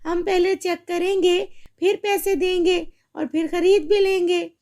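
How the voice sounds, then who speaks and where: A woman's voice: very high-pitched, steady tone, unhurried, darkish tone. A woman voicing a greedy, rude market auntie in a cartoon fairy tale, bossy and dismissive.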